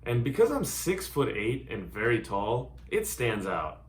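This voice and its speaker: A man's voice: mid-range voice, sing-song, a little slow. A man in his thirties, born in Hungary, giving an earnest, carefully worded introduction of himself as a DJ and music producer. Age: 30s. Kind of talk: scripted self-introduction to camera. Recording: roomy echo.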